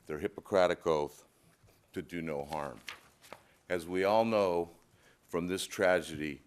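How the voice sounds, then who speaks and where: A man solemnly reading a prepared statement in court with measured phrasing. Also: deep voice. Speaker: spokesman reading a family statement. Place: indoor courtroom.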